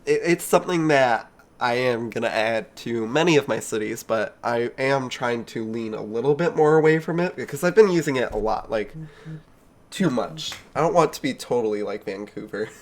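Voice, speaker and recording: A man's voice: mid-range voice, lively intonation, normal speed. Young male streamer, nasal from a cold, casual rambling commentary, chuckles a lot. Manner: rambling, self-mocking, chuckles often. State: congested with a cold. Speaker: video game streamer.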